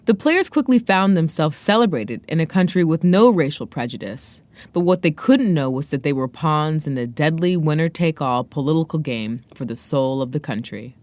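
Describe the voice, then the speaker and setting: A woman's voice: deep voice, animated, normal speed, a little mellow, dry recording. A woman hosting a podcast, moving between scripted narration and interview talk. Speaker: podcast host.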